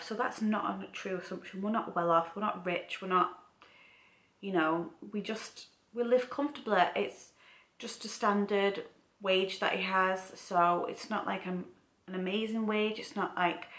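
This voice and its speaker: A woman's voice: medium pitch, bright, forceful tone. A young woman with a Mancunian accent tinged with Scouse, chatting casually and informally in a vlog, rambling and repeating herself for emphasis. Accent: Mancunian with some Scouse. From Manchester, England. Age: young adult. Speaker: vlogger. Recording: roomy, echoey home room.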